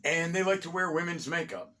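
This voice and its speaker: A middle-aged American man hosting a conversational, storytelling history talk, phrasing deliberately with pauses and dropping in wry asides. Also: a little high, sharp tone, slight background noise. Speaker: host and storyteller of a local history series. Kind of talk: conversational bedtime-story style history narration.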